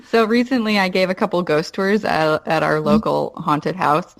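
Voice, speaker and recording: A woman podcast guest telling a personal anecdote in halting, informal speech with casual swearing. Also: on the low side, vocal fry.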